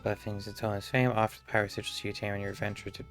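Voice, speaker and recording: A man's voice: on the low side, flat delivery, rapid-fire. A man giving casual live stream commentary as he plays a video game. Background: game music.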